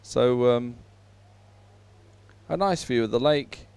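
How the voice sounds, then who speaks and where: A man giving unhurried live sports commentary, filling time between races in halting phrases with frequent pauses. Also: medium pitch, vocal fry, a little mellow, smooth, no room sound.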